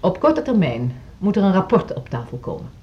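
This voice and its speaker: An actress playing a brisk, formal chairwoman in a radio drama, with measured, emphatic delivery. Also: fairly low pitch, sing-song. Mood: formal, businesslike. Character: brisk, formal woman chairing a music school board meeting. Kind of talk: radio drama acting, formal meeting address. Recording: dull lo-fi radio drama recording.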